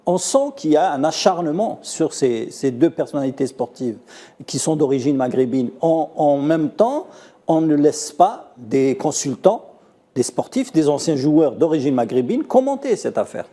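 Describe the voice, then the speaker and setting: A man hosting a TV studio talk show, framing questions with frequent fillers and quick agreeing interjections. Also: a little high, big pitch swings, dark tone. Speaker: host.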